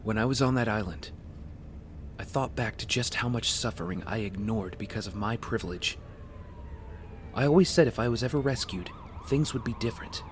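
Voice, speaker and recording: A man's voice: lowish voice, normal speed, slight background noise, lightly acted. A young man playing a rich playboy with a secret heroic life in an audio drama. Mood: weary, earnest.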